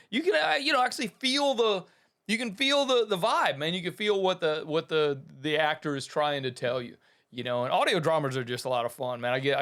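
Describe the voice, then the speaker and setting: A man's voice: fairly high pitch, a bit bright. A man hosting a podcast about the business of running a studio, talking casually and enthusiastically, rambling with restarts and calling things awesome. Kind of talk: casual talk to listeners.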